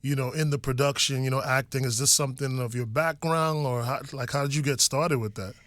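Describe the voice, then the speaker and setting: A man's voice: medium pitch, fairly even intonation, fairly quick, fairly bright tone, no echo. A man hosting a casual, upbeat interview. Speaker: radio podcast host interviewing guests.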